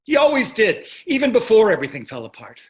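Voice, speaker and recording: A man's voice: high voice, wildly swooping pitch, fast. An older man playing a wealthy, glib and dismissive husband and father in a staged play reading. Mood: exasperated.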